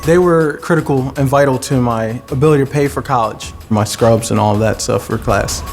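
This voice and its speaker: A man's voice: medium pitch, fairly quick. A man, a graduating student, speaking earnestly in a testimonial. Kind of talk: interview testimonial. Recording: music bed underneath.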